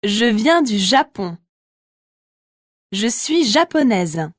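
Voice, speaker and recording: A woman voices practice phrases for a language course, her voice slightly high. She speaks slowly and pronounces each word with care, in a dry studio recording. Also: sing-song, dry recording. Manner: clear, carefully articulated. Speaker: textbook audio voice actor. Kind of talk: slow scripted dialogue reading.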